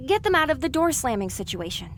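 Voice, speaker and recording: An anxious young mare voiced by a woman in an audio drama, high and earnest. She sounds bewildered as she questions herself, with faint noise behind her. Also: very animated, fast talker, fairly bright tone. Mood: worried. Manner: urgent self-questioning.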